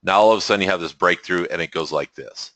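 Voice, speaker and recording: A man hosting a spiritual webinar, talking in a relaxed way with chuckles and frequent restarts, through a computer mic on a call. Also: deep voice, quick pace, dry recording. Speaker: webinar host. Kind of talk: teaching webinar talk.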